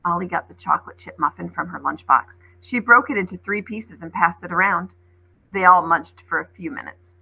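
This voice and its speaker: A woman reading a children's novel aloud as an expressive storyteller, like a teacher reading to a class. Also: fairly low pitch, moderate pace, vocal fry, slightly rough, poor audio.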